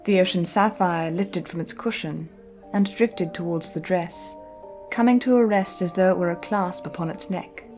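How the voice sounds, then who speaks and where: A woman reading a scripted, dramatic story as narrator, with measured and deliberate phrasing. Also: on the low side. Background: soft music.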